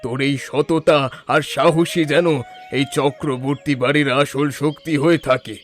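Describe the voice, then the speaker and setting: A man's voice: fairly high pitch, steady tone, moderate pace, close to an everyday voice. Man as old family patriarch, grateful, heartfelt, earnest, cartoon drama, faint music behind. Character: grateful, emotional old village father-in-law. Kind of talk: cartoon voice acting.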